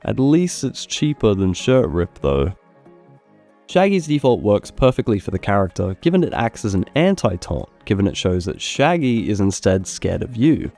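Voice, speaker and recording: Man, lowish animated voice, mellow tone, scripted gaming commentary, opinionated, background music. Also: normal speed. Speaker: gaming video narrator.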